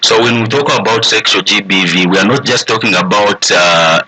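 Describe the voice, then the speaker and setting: A man's voice: on the low side, normal speed, a crowd in the background, rough recording. A man with a Zambian accent speaking as an expert guest in a radio discussion, making his points emphatically.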